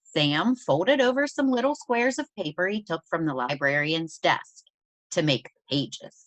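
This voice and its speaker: A woman with a low voice reads a picture book to children. Her delivery is unhurried and highly animated in pitch, with deliberate stress on key words. The sound is close and dry. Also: no room sound, low-quality recording. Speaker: presenter reading a children's picture book aloud. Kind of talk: expressive read-aloud storytelling for children.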